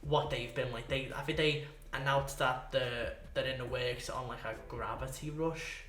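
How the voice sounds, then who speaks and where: A man chatting casually as a podcast co-host, excitable, rambling and sweary. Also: medium pitch, normal speed, a little hiss or hum. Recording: echoey room.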